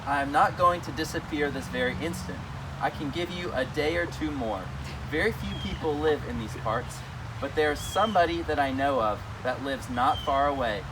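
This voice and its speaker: A man's voice: a little high, lightly acted. Man reading as wise wizard, noisy outdoor recording. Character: wise, commanding old male wizard guide. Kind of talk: amateur readers' theater reading.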